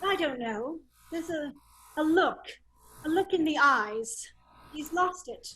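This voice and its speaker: Woman as a high, bright foppish English gentleman. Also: animated, takes it slowly, heavily acted, poor audio. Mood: airy, flippant. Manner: airy, drawling, exclamatory. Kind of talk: amateur radio play table read.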